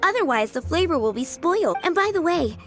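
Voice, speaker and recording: A woman voicing a kindly, fair-minded young tavern cook in a video game, speaking gently and earnestly. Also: very high voice, big pitch swings, fairly quick. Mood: friendly. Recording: game music behind the voice.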